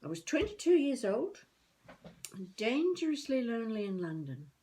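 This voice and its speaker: A woman playing a feisty elderly would-be novelist, rambling wryly and haltingly through a comic monologue as a video diary. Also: a little high, big pitch swings, dark tone. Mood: reminiscing. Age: older. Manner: wry, musing, halting, talking to herself.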